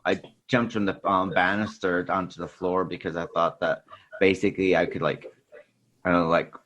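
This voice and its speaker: A man's voice: low-pitched, level tone, moderate pace, a bit bright. A man co-hosting a casual film discussion, rambling and often tacking on "you know" and "sort of thing". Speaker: podcast co-host.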